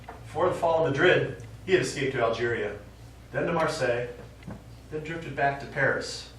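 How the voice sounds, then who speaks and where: An actor playing a stubborn old American war veteran and father, telling his memories haltingly in a stage play. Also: medium pitch, fairly bright tone, lightly acted. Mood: wistful reminiscing. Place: small theater stage, recorded from the audience. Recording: distant, very echoey theater recording, faint noise.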